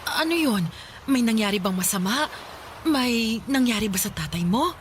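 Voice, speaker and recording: Woman acting a weary, higher-pitched Filipino mother. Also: animated, moderate pace, a little hiss or hum, natural voice. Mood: uneasy. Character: weary, unyielding mother who left her family. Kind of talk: Tagalog radio drama acting.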